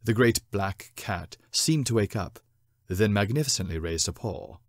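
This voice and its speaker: A man reading a story as narrator, with dramatic, deliberate, theatrical phrasing. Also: fairly low pitch, expressive, no echo, studio quality. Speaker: narrator, short story reader.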